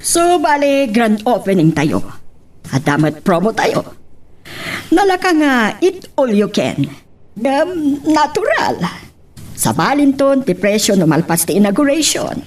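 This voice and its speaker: A woman's voice: fairly high pitch, sing-song, measured pace, a little mellow, recorded from a distance. A woman playing a practical businesswoman mother in an Ilocano audio drama. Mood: businesslike. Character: businesswoman mother planning a restaurant opening, frank and practical.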